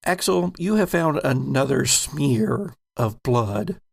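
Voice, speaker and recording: Guy running a spooky space rpg, deliberate descriptive narration, creaky voice, takes his time. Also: medium pitch, expressive. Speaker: game master and host. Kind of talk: narrating a horror sci-fi tabletop game.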